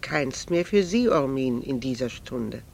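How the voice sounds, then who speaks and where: A woman's voice: very deep voice, expressive, a little slow, creaky, darkish tone, a little hiss or hum, full character voice. An earnest, proud married woman. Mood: quietly resolute. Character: proud, candid, melancholy married woman confiding in an old admirer. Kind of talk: German radio drama acting.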